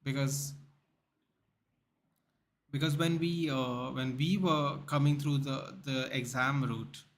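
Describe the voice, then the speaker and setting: A young man from Goa with an Indian English accent hosts a relaxed livestream, answering viewers by name in loose, rambling chat. His voice sits at an ordinary middle pitch, and there is a faint hiss of noise behind him. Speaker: livestream host. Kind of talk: casual livestream chat reading out viewer comments.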